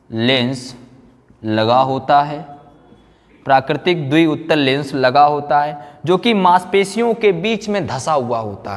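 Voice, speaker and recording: A man giving a classroom lecture, repeating phrases for note-taking and answering his own questions. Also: on the high side. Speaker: physics teacher. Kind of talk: classroom lecture, explaining and dictating notes. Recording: echoey room with long reverb.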